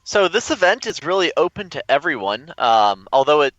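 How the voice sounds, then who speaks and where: A man's voice: a little high, sing-song, moderate pace, fairly bright tone, no room sound. A young adult man speaking as an interview guest, explaining things conversationally over dull call audio. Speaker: guest, event organizer.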